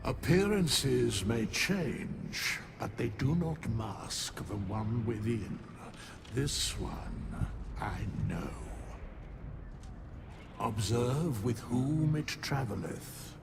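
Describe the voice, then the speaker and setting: Ancient solemn being, drawn-out gravelly speech, stately, archaic, fantasy game, loud background noise. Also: male voice, mid-range voice, lively intonation, full character voice. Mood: solemn, assured. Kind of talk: video game cutscene acting.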